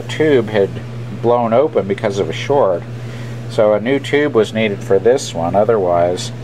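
A man's voice: lowish voice, rough recording. A man casually and matter-of-factly explaining a hands-on repair in an unscripted way as he works at an indoor bench. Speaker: radio repairer presenting his work. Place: indoor workbench. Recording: steady hum and room noise.

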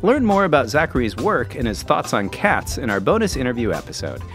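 A man's voice: mid-range voice, wildly swooping pitch, fairly quick, a bit bright. A man co-hosts a kids' podcast in a playful, questioning style. His mid-range voice swings up and down expressively, with guitar music underneath. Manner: jokey, inquisitive. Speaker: podcast co-host. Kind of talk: scripted kids' podcast banter.

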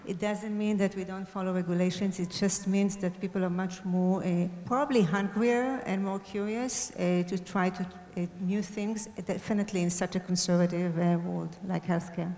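A woman talking on a conference stage into a handheld mic, speaking at a slightly low pitch. She talks fluently but fills her sentences with "uh" and corrects herself along the way. Her voice carries a long reverb from the big hall. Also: steady tone, moderate pace, few pauses, mellow. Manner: fluent, many fillers, self-corrects. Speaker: panelist, hospital data and AI head. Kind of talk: panel discussion answer.

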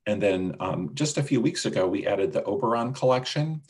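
A man with a gravelly voice presenting a product demonstration and answering questions on a video call. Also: on the low side, lively intonation, a little fast, a little mellow. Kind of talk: webinar demonstration and Q&A. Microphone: video call microphone.

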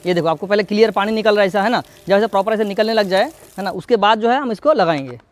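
A man's voice: sits high, fast talker. A young man giving a casual unboxing and demo, chatty and talking straight to his viewers, recorded outdoors in a courtyard garden. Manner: chatty, talks straight to viewers, keeps checking in with "hai na". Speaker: product reviewer.

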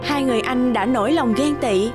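A woman's voice: a little high, a little fast, loud music behind the voice, natural voice. A woman performing as the storybook narrator of a children's cartoon, reading scripted fairy-tale narration. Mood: storytelling.

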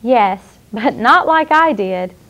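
A woman playing a sweet, nurturing clown who hosts a children's show, with a gentle, encouraging manner. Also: fairly high pitch, expressive, slow, dull audio, full character voice. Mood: gentle, caring. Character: sweet, nurturing young female clown hostess.

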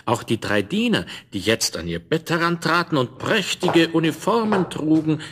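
A man narrating a scripted fairy tale for a 1970s audio play, with an expressive storyteller's delivery. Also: a little high, normal speed, slightly rough.

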